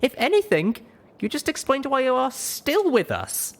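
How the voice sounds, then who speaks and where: A woman's voice: high-pitched, huge pitch swings, normal speed, voice pushed up, exaggerated voice. A woman voicing a feisty young pony mare heroine, stubborn, suspicious and confrontational, in an audiobook story. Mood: accusing, defiant. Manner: confrontational, demanding.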